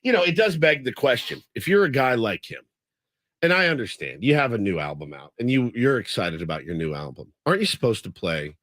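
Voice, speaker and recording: A male talk radio host spins a lively story, his pitch swinging up and down as he acts out the people in it. The studio sound is dry and close, with no room around him. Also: fairly quick, dry recording. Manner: animated storyteller, acts out quotes. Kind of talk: casual storytelling on air.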